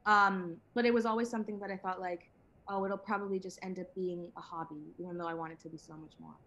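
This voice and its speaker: A woman's voice: fairly low pitch, steady tone, fast, a bit bright, dull audio. Chatty eighteen-year-old woman from Venezuela. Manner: chatty, laughs often, many fillers and restarts. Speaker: singer-songwriter guest. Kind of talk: casual interview chat.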